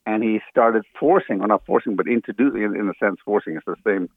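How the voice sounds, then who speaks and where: A man's voice: on the low side, fast talker, no echo. A man who was born in Ghana and grew up in Africa, a guest earnestly explaining his view in a radio interview, with many fillers and restarts. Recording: dry, tinny phone line.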